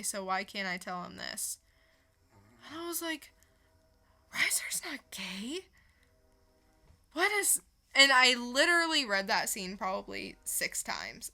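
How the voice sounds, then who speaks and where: A woman's voice: mid-range voice, creaky, sharp tone. A woman co-hosting a podcast, chatting casually through a comic book review and retelling it with casual swearing. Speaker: podcast co-host.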